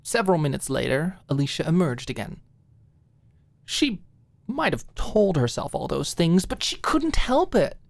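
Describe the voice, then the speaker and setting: A woman's voice: low voice, sing-song, a little slow, vocal fry, no echo. A woman reading scripted fiction expressively as an audiobook narrator in an ASMR style.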